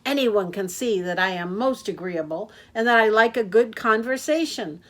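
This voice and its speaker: Woman playing a gabby male yak taxi driver, rambling, fast and high-pitched, storybook voice. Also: lively intonation, fairly bright tone, natural voice. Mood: chattering.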